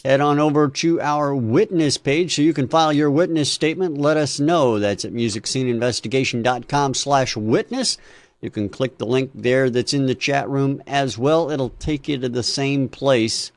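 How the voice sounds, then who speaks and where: A man's voice: medium pitch, normal speed, no room sound. Man hosting a music review show. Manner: upbeat, joking sign-offs. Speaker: host.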